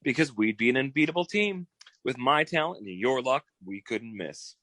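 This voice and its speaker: A man's voice: mid-range voice, lively intonation, normal speed, bright, forceful tone, no room sound. Guy acting as a showy cocky private eye, old time radio style, very swoopy delivery, dry close sound. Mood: cocky, pushy. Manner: boastful, wheedling, showy. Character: cocky, theatrical fast-talking male private detective eager for partnership. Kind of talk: 1940s radio detective comedy acting.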